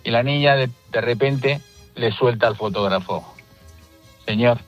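A man's voice: medium pitch, a little slow, muffled recording, faint music behind. A man calling into a radio phone-in to tell a story about his daughter. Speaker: caller.

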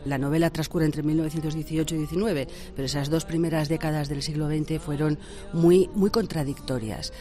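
Earnest woman, mellow warm voice, faint music under it. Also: sits low. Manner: earnest, thoughtful, hesitant pauses. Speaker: author interviewed. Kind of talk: reflective interview answers.

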